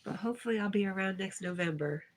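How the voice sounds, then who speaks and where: A woman's voice: mid-range voice, fast talker. A woman hosting a casual livestream, reading viewer comments aloud and replying in a chatty way. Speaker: livestream host.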